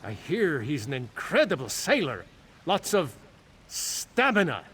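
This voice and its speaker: Lovestruck male seafarer for a game, lively melody, lightly noisy background. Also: fairly high pitch, unhurried, heavily acted. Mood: infatuated, smug. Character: lusty, starry-eyed male sailor, an infatuated admirer. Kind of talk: video game character dialogue.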